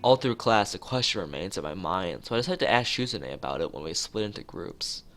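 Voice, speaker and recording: A man's voice: low voice, animated, a little fast, a bit bright. A man streaming a visual novel read-aloud, narrating with casual asides and sometimes stumbling over his words. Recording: music behind the voice.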